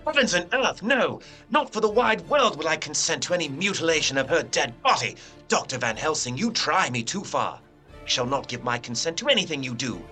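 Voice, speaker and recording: A man's voice: on the high side, sing-song, brisk, sharp tone, soft background music. A man playing a proud, anguished young English lord in a period audio drama, speaking formally and with earnest emphasis. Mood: outraged.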